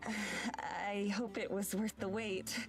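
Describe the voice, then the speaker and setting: An actress voicing an earnest young woman in love in a visual novel, with soft, hesitant, heartfelt delivery. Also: medium pitch, little pitch movement, takes it slowly, faint music behind. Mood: affectionate, gentle.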